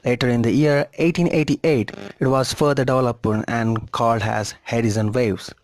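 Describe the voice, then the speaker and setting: A man's voice: mid-range voice, fairly even intonation, moderate pace, no room sound. A man reading a scripted history narration as a documentary narrator.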